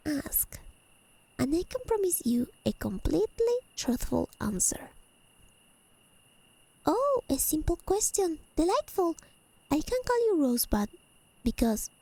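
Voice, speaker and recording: A high, mellow voice with a creaky edge from a woman playing a haughty fae lady of the high realms. She is teasing and possessive, with elegant, formal phrasing. It is soft-spoken ASMR roleplay, with crickets chirping in the background. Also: very animated, unhurried, long pauses, dry recording, heavily acted, poor audio. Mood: amused, teasing, then flirtatious, admiring.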